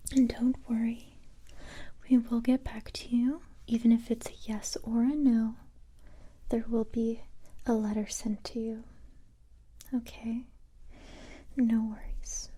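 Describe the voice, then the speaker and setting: A woman doing a soft-spoken, whispered ASMR roleplay as a calm, encouraging police recruiter, gentle and hesitant, close to the microphone. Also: a little high, husky. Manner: gentle, hesitant, unhurried reassurance.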